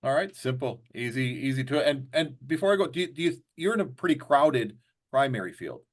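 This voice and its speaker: A man's voice: medium pitch, very animated, fairly quick. A middle-aged man hosting a casual political interview, chatty and fond of joking hypothetical questions. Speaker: interview host.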